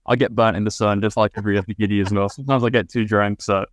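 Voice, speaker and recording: A man's voice: lowish voice, steady tone, no room sound, clean studio sound. A young man from Birmingham, England, a guest on a podcast, chatting casually with frequent restarts. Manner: quick, many restarts and fillers. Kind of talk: casual chat.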